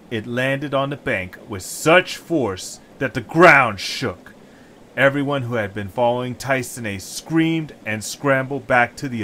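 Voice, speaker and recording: A man's voice: mid-range voice, bright, forceful tone, slight background noise. A man narrating a scripted adventure story as the narrator.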